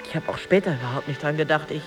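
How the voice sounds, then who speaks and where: Actress as a bitter, volatile jealous wife. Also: female voice, low voice, racing, over music, close to an everyday voice. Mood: defensive. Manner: emotionally volatile, theatrical, swinging between hushed and outburst. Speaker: actress in a radio drama. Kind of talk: dramatic radio play monologue.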